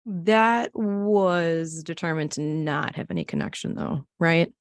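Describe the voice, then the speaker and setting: A woman's voice: lowish voice, slow pace, no room sound. A woman interviewing someone on a podcast in a casual, conversational style.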